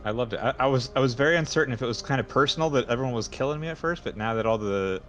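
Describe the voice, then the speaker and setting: A man's voice: mid-range voice, very fast, a bit bright, faint music behind. A man who plays tabletop games, chatting casually, heard through dull lo-fi audio. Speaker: tabletop game player. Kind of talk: casual chat.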